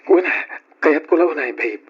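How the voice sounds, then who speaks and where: A man's voice: a little high, lively intonation, a little slow, slightly rough. A man playing a caring, take-charge young boyfriend in an old Ilocano radio drama, with an Ilocano accent. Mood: coaxing. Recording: dull lo-fi radio recording.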